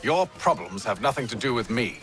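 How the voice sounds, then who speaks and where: An actor playing a composed, haughty gentleman in a video game, speaking formally with a dry, sardonic and quietly menacing manner. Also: medium pitch, very animated, a little fast. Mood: cold, blunt. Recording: music playing behind the voice.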